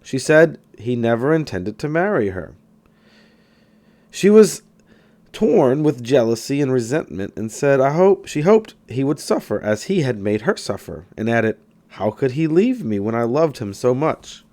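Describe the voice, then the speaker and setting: A man reading a self-help book aloud in scripted narration, with emphatic, deliberate phrasing. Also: mid-range voice, sing-song, a little mellow. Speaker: audiobook reader.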